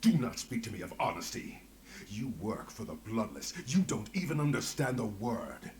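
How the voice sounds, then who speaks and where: A righteous, distrustful hothead in a fantasy video game. He is accusatory and indignant, his pitch rising and falling widely. Faint noise is behind the voice. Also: big pitch swings, normal speed, a little mellow. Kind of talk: scripted video game acting.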